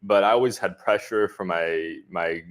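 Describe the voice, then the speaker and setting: A man from the southern US answering viewers' comments in a relaxed livestream chat, speaking with a deliberately neutral accent and many filler words. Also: sits low. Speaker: expat vlogger answering live chat. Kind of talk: casual livestream Q&A.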